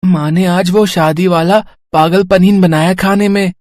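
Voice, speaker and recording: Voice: medium pitch, steady tone, measured pace, darkish tone, no room sound, lightly acted. Innocent eager little boy, dry close sound acted. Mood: excited. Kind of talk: cartoon story dialogue.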